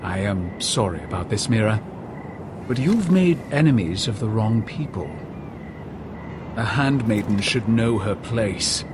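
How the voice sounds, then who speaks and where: An actor playing a treacherous officer in a dramatic video game, courteous with veiled menace. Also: male voice, on the low side, animated, a little slow, background noise. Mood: coldly menacing. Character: smooth-talking, treacherous male officer turned assassin.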